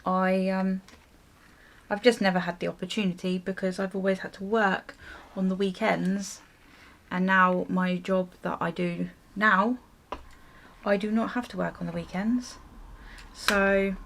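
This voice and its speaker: A woman's voice: mid-range voice, steady tone, measured pace, creaky, a bit bright, slight background noise. A woman chatting casually to viewers as she gets ready, rambling and interrupting herself as a vlogger. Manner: rambling, self-interrupting, chuckly. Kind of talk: casual storytime chat while getting ready.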